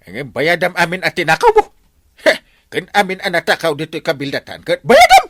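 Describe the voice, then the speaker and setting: An actor with an Ilocano accent playing a boastful, bossy middle-aged village captain in a radio comedy drama. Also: male voice, a little high, wildly swooping pitch, fairly bright tone, no echo, clean studio sound, heavily acted. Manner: blustering, bossy, quick to laugh.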